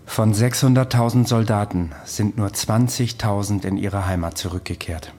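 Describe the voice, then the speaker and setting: A man's voice: on the low side, normal speed, a little mellow. An actor in a radio play playing an earnest, haunted man with a dryly ironic, insistent manner. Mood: wry, grim. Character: intense, wry, haunted man claiming to be Napoleon's veteran.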